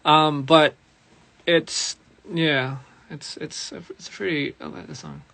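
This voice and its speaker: A young man with a raspy, hoarse voice, chatting casually as a musician on a live stream and switching between English and Korean. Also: fairly high pitch, steady tone, slow, pushed and bright, no echo. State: hoarse after a recording session.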